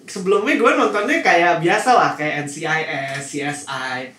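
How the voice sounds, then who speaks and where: A man with a high voice chats casually as a podcast panelist, explaining things as he goes. The sound is roomy and echoing, with some background noise. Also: normal speed, pushed and bright. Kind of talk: casual group chat about anime.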